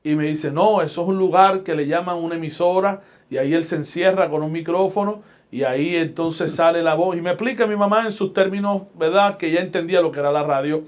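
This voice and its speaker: A middle-aged man with a Puerto Rican accent, speaking as a guest pastor and radio broadcaster. He talks in a measured, emphatic preaching cadence and leans on each phrase. His voice sits a bit higher than most men's. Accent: Puerto Rican Spanish. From Puerto Rico. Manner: deliberate, emphatic preacher's delivery with scripture quotes. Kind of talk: podcast interview, storytelling.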